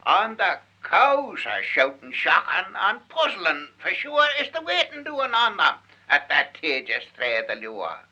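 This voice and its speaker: High, bright-voiced Manx man reciting verse. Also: wildly swooping pitch, measured pace. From Isle of Man. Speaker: Manx language student reciting dialect verse. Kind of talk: dramatic dialect poetry recitation. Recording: 1948 archive field recording.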